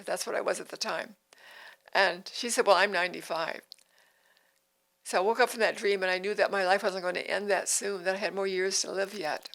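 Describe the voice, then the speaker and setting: Older lady in her 80s, gravelly voice, talks earnest and repeats herself a lot. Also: medium pitch, fairly even intonation, brisk, a bit bright. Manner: earnest, repeats phrases, restarts sentences. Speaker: podcast host. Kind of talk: devotional podcast talk.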